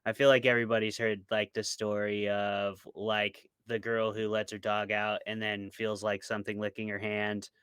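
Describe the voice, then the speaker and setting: A man's voice: fairly low pitch, completely flat delivery, normal speed, few pauses, fairly bright tone, clear, resonant tone, no room sound. An American man chatting casually and informally as an interview guest, giving his opinions with some hedging. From small rural town in United States. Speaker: guest, author and podcast co-host.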